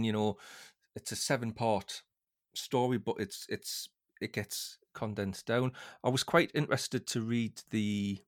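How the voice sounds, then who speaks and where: A man chatting casually as a fan on a podcast, rambling a little hesitantly. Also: mid-range voice.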